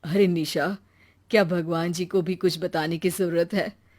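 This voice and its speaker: A woman's voice: fairly low pitch, steady tone, measured pace, darkish tone, pitched below the performer's own voice. A devout village husband from a moral storybook, played by a woman. He is humble and reassuring, speaking with devotional feeling. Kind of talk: Hindi moral storybook acting.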